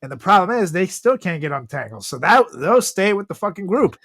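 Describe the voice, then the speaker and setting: Guy podcast host, kinda high voice, swears a lot, joking around, close dry sound. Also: brisk, no room sound. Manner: profane, animated storytelling. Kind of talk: casual comedic chat.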